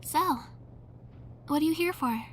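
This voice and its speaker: A man's voice: very high voice, a little slow, fairly bright tone, a little hiss or hum, pitched above the performer's own voice. A man voicing a plucky, cheerful young woman in a friendly, teasing manner for a visual novel game.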